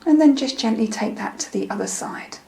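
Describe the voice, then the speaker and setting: Soothing woman, soft and creaky, guiding meditation. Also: on the high side, expressive. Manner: soothing, gentle, unhurried instruction with soft breathy phrase endings. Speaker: meditation guide. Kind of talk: guided meditation.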